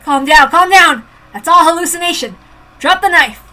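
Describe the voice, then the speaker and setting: A woman voicing a cold, calm male officer who gives curt, brisk orders. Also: very high-pitched, pitched above the performer's own voice, heavily acted, rough recording. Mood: commanding.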